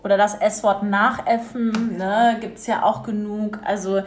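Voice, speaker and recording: A young woman co-hosting a radio talk show, leading the topics in a relaxed, casual way with wry remarks. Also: mid-range voice, measured pace, slight background noise.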